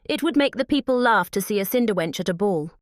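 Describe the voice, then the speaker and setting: A woman's voice: medium pitch, lively intonation, fast talker, no echo, clean studio sound, pitched above the performer's own voice, close to an everyday voice. A British woman voicing a haughty, mocking young eldest stepsister in a storybook audiobook, snooty and taunting. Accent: British English.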